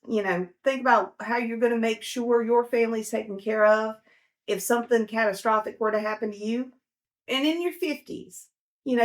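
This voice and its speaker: A woman in her forties giving casual, down-to-earth money advice, chatty and full of fillers. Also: fairly high pitch. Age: 40s. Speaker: personal finance host. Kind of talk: casual advice talk to camera.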